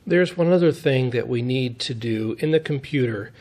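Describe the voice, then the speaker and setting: A man's voice: medium pitch, normal speed, darkish tone. A man giving a step-by-step technical demonstration in a methodical, plain-spoken way, as an instructor would.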